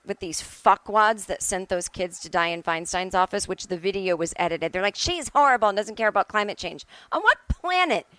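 A middle-aged woman rants casually into a close, dry recording. Her voice sits at an ordinary pitch for a woman, and she speaks bluntly and emphatically, swearing freely as she gives her opinions. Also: lively intonation, moderate pace, few pauses, sharp tone, dry recording. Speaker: solo podcast host. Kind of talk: casual opinionated monologue, ranting. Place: at home.

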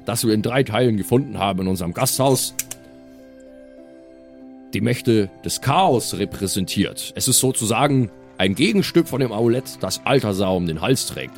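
A man's voice: medium pitch, darkish tone. A man improvising as a player in a tabletop role-playing audio show, switching between table talk and in-character speech.

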